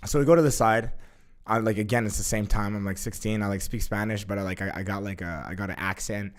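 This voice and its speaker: Young man, slightly low voice, casual storytelling, animated, lots of fillers, swears freely. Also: fast talker. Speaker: guest.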